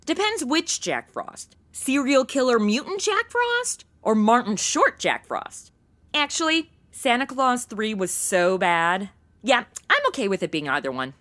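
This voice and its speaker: A woman's voice: a little high, big pitch swings, a little slow, a bit bright. A woman playing a goofy, slangy modern sidekick with casual, sassy quips in a comedy audio drama. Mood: sassy, amused. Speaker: host and co-lead.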